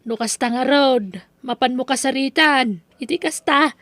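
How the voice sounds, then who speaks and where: A woman's voice: sits high, normal speed, lightly acted. A woman playing a mother who chides her grown son and then gives advice. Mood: chiding.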